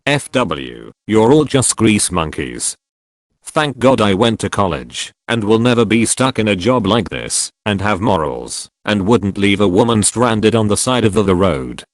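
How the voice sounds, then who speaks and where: A man's voice: fairly low pitch, expressive, normal speed, hardly any pauses, dry recording, studio quality. A man reading online stories aloud as narrator, in a wry, storytelling manner.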